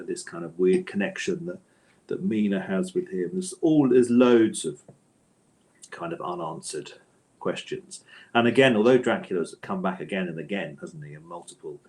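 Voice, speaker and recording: A man's voice: low-pitched, darkish tone. A man speaking as an interviewed author in a conversational, discursive way, often interrupting himself and repeating words.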